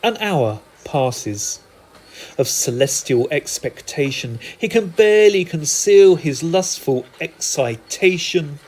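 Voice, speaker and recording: A man performing narrative poetry in a theatrical, deliberate manner with dramatic pauses and emphatic repetition. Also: fairly high pitch, animated, a little mellow, low-quality recording. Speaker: spoken-word poet.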